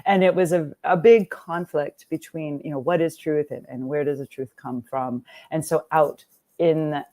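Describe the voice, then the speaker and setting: Interview guest, middle-aged woman, Lithuanian-speaking home, deep warm tone, animated storyteller. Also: normal speed. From Texas, USA. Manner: animated storytelling, laughs often, frequent restarts. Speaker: guest, writer and nonprofit founder. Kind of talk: conversational interview.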